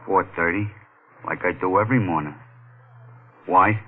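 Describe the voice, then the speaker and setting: An actor playing a frightened, jumpy janitor in a 1940s-style radio mystery, speaking in a nervous, ominous hush. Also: male voice, low-pitched, normal speed. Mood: uneasy, gossipy. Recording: tinny old radio recording with music.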